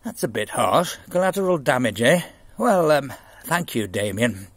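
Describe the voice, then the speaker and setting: A man voicing a patient but weary male art teacher in a storybook, hesitant and dryly exasperated, with a husky voice. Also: mid-range voice, big pitch swings, moderate pace, dry recording.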